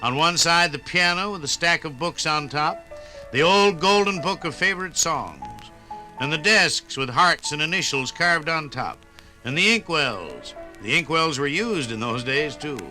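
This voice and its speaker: Casting: male American vintage radio narrator, rather high and very forceful, dramatic, wistful storytelling. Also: lively intonation, measured pace, faint music behind, rough recording. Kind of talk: nostalgic 1950s radio narration. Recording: 1950s radio recording.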